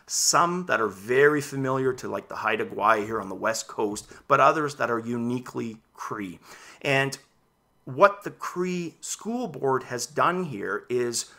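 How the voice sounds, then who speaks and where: A man in his thirties whose first language is Dutch, casually presenting VR news and his own opinions to camera from a home room full of game shelves, gesturing as he talks. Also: mid-range voice. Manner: animated, gestures while talking. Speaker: VR news vlogger.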